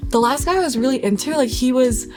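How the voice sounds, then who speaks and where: A woman in her late twenties with a slightly high voice talks as a guest in a relaxed, candid chat. Her phrasing is very informal, sprinkled with "like". Music plays clearly behind her. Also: quick pace. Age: late 20s. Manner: informal, peppers speech with "like". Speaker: guest having tarot cards read.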